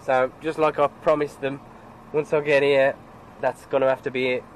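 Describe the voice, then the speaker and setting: Congested trucker chatting over road noise. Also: male voice, mid-range voice, level tone, moderate pace, low-quality recording. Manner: chatty, grumbling at other road users. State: stuffed-up nose from a cold. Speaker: truck driver. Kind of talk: casual driving vlog commentary. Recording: road and engine noise in cab.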